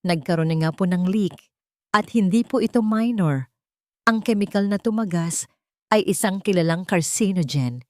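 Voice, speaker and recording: A woman voicing a male private investigator in an audio drama, grave and urgent, speaking deliberately and respectfully. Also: medium pitch, darkish tone, dry recording, lightly acted. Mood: grave, revealing.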